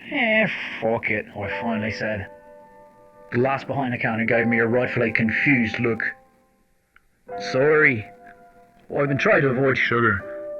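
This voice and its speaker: A man's voice: medium pitch, recorded from a distance, lightly acted. A man playing a wry, foul-mouthed intelligence agent, telling his story in a sardonic, conversational way in an audio drama. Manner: sardonic, conversational, addresses the listener directly. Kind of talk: first-person audio drama narration and dialogue. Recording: dull lo-fi old-radio sound under music.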